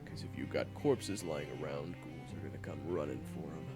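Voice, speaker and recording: A man acting a gruff, impatient monster hunter with a gravelly, blunt delivery in an audio roleplay. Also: a bass voice, normal speed. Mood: gruff, urgent. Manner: blunt, exasperated. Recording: music bed under the voice. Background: background music.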